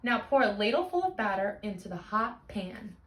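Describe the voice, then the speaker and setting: A woman voicing a busy, practical storybook mother who gives firm, clear instructions, in a roomy recording. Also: mid-range voice, big pitch swings, normal speed, a bit bright, a little hiss or hum.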